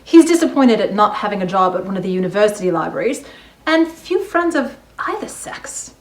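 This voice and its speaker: A woman's voice: medium pitch, big pitch swings, a little fast, roomy sound, close to an everyday voice. A woman playing a prim, reserved, genteel lady librarian in a dramatized novel, speaking politely and properly. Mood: private musing.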